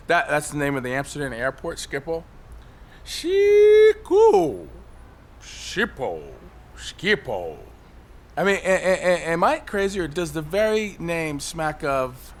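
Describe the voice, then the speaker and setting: Rambling, high-strung man on a ledge. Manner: rambling, wistful, bursting into shouts. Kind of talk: audio drama monologue and dialogue. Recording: faint city traffic noise behind.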